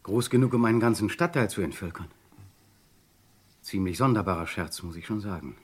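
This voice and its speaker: Low, husky wry detective. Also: male voice, animated, brisk. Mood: calm, concerned. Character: calm, wry police detective, friend of the groom. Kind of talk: 1960s German radio crime drama acting.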